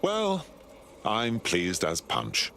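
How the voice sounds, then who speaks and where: A genteel British resistance recruit in a game cutscene. The pitch moves around expressively while the manner stays polite, arch and dryly witty. There is audible ambient noise behind the voice. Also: male voice, huge pitch swings, very slow, pushed and bright, exaggerated voice. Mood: pleased. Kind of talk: video game cutscene acting.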